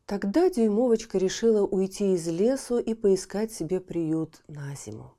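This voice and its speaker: Soft-spoken woman with a dark, mellow voice. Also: medium pitch, moderate pace. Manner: gentle, expressive, often dropping to a hushed whisper. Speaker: storyteller. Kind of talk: children's audiobook narration.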